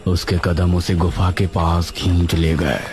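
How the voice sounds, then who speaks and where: A man's voice: low-pitched, flat delivery, measured pace, darkish tone. A man narrating a scripted cartoon story in a dramatic storytelling manner. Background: music.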